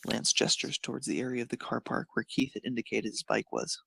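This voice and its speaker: A man's voice: mid-range voice, steady tone, fairly quick, gravelly, bright, forceful tone, breathy edge, dry recording. A man narrating a scripted piece of fan fiction as a storyteller.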